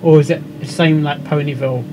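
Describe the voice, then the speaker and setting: A young man with an English accent giving casual, halting, rambling reaction commentary as the host of a video. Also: fairly high pitch, steady tone, noisy background. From England. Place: indoor room at a computer. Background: music.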